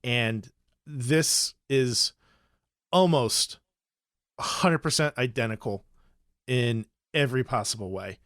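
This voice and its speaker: A man's voice: mid-range voice, slow, pauses often, fairly bright tone, no echo, studio quality. A man talking informally and casually as a podcast co-host. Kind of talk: casual chat.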